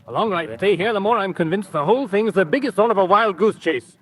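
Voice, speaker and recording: An actor playing an irritable, blustering uncle in an old-time radio drama, impatient and grumbling. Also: male voice, high-pitched, animated, quick pace, fairly bright tone.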